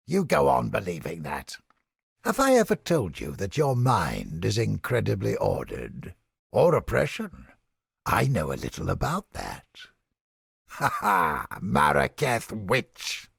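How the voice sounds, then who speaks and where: A cynical male inquisitor scholar for a video game, smug and theatrical. His voice is heavily creaky and husky, recorded close and dry. He speaks in formal, old-fashioned phrases with sardonic relish. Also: medium pitch, fairly bright tone, no echo, full character voice. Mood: dry, probing. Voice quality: gravelly, husky, breathy edge. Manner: theatrical, deliberate, sardonic, formal archaic diction.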